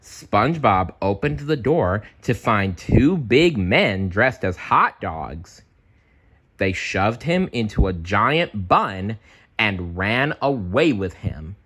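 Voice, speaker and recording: Animated, slow-paced male storyteller. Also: lowish voice, breathy edge. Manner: animated, chatty. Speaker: read-aloud storyteller. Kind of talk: expressive children's storybook narration with casual asides.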